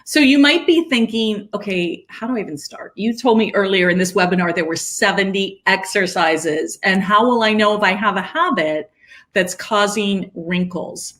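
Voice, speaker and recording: A woman's voice: medium pitch, normal speed, a bit bright. A woman in her fifties co-hosting a webinar, talking to viewers in an upbeat, persuasive way. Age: 50s. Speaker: webinar co-host. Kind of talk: promotional webinar chat.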